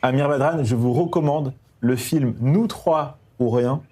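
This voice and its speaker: A man's voice: mid-range voice, a little slow, warm tone. A man hosting a TV studio debate, introducing guests and prompting them with brief acknowledgements. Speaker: TV debate host.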